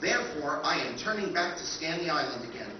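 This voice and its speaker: A man's voice: fairly high pitch, expressive, brisk, close to an everyday voice. A man playing a stubborn, militaristic lifeboat computer, formal and declamatory, in a live recreation of 1950s radio science fiction. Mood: dutiful. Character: solemn, deluded, militaristic female lifeboat computer, motherly and stubborn. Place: convention performance room, picked up from afar. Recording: echoey convention hall, distant muffled noisy recording.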